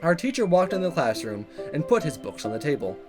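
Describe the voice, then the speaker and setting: A man's voice: fairly high pitch, big pitch swings, fairly quick. A man hosting a visual novel let's play, recapping and narrating, with music under his voice.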